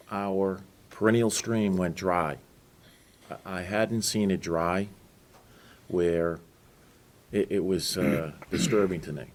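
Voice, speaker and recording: Deep-voiced man with a Boston accent. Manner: halting, with restarts. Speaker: town board candidate. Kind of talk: candidate forum answer.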